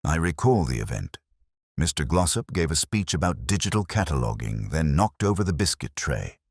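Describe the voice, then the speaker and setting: A man's voice: extremely low pitch, very animated, normal speed, no echo, studio quality, exaggerated voice. A man voicing a prim, cautious elderly female librarian with a precise, gossipy manner. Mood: prim, guarded.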